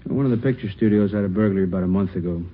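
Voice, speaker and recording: An actor playing an easygoing, conversational burglary detective in a 1950s radio drama. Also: male voice, low voice, racing. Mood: helpful, offhand. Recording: muffled old radio recording, faint noise.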